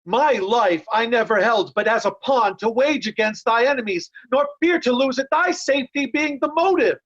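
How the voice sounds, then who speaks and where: A woman's voice: fairly high pitch, normal speed, highs cut off, close to an everyday voice. A woman playing a loyal, blunt, defiant nobleman in earnest, declamatory Shakespearean verse. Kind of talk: Shakespeare reader's theatre acting.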